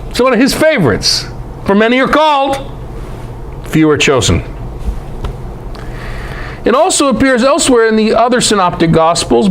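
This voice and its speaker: A man's voice: sits high, a bit bright, slight background noise, rough recording. A man giving an informal, irreverent scripture lecture in an emphatic way, often putting rhetorical questions to his listeners. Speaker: Bible teacher, presenter.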